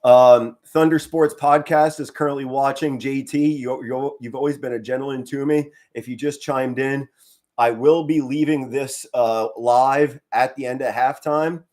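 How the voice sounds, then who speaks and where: Hoarse middle-aged male fan commentator. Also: unhurried. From Pennsylvania. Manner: subdued and low-key, with bursts of excitement. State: hoarse, straining to save his voice. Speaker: fan commentator on a live stream. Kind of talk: casual live play-by-play commentary.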